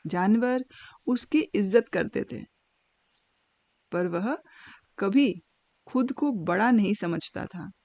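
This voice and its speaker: Female storyteller, unhurried pace, mid-pitched voice, dramatic pauses, scripted cartoon fable narration. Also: expressive, a little mellow, dry recording. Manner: dramatic, deliberate phrasing with pauses.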